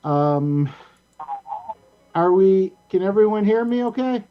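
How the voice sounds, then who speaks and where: Halting show host on dull call audio. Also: mid-range voice, animated. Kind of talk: casual show welcome, introducing guests. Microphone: video call.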